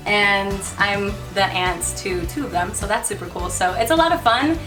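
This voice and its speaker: A woman's voice: medium pitch, moderate pace. A woman in her twenties, a band member, chatting in an upbeat, talkative way. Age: 20s. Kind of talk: casual chat. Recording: distant mic with background music.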